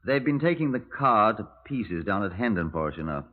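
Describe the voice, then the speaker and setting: An actor playing a wry, genial British police superintendent in clipped 1950s radio drama style, on a vintage recording. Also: male voice, fairly low pitch, big pitch swings, brisk. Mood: brisk. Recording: tinny, narrow-band vintage radio recording.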